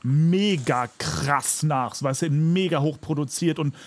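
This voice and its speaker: A man's voice: on the high side, unhurried. A man in a casual podcast chat, ranting emphatically and with plenty of exaggeration. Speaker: podcast co-host.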